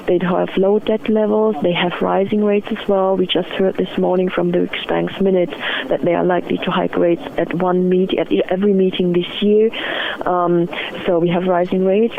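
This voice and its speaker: Woman on a tinny phone call doing market analysis, gravelly fry in her voice, explains stuff step by step. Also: lowish voice. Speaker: currency strategist, interview guest. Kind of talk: analytical market commentary in an interview. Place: phone interview. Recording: muffled narrow phone line.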